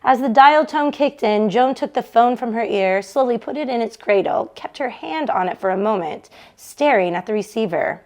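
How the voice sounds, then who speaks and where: A woman with a fairly high-pitched voice reads prose fiction aloud. Her delivery is expressive storytelling, shaped like an audiobook narration for a book club. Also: normal speed, few pauses. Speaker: bookshop read-along reader. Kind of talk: expressive audiobook-style reading of a novel.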